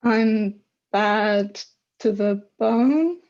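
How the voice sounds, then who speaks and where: A dim-witted goat trying to sound evil as a woman acts it, extremely slow and drawn out. The sound is muffled and lo-fi, in an amateur myth play. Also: medium pitch, a little mellow. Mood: comically menacing. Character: dim catchphrase-repeating talking goat, comically ominous. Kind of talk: amateur dramatic play reading.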